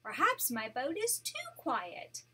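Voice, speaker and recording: An eager little boy rabbit from a storybook, a big brother keen to play, read by an older woman. The voice sits high and swoops widely, with a husky, breathy edge. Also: huge pitch swings, takes it slowly, full character voice. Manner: read aloud with gentle emphasis.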